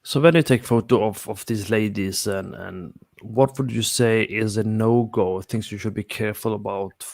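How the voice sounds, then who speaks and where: Gravelly low-voiced man hosting. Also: fairly even intonation, a little mellow, no room sound, clean studio sound. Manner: halting, searching for words, "uh". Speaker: host. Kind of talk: interviewing and explaining to viewers.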